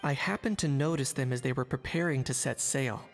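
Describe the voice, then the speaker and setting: Guy playing a calm detective type in a game, thinking out loud, gravelly, big echoey reverb. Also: mid-range voice, soft background music, lightly acted. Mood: calm, explanatory. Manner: measured, deliberate, analytical. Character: observant, composed male investigator in fantasy game. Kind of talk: video game narration and inner monologue.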